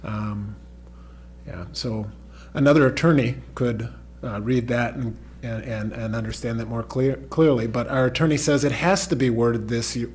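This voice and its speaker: A man's voice: medium pitch, darkish tone. Man, district official, careful explainer at a meeting, faint hum in the room. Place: school board meeting room.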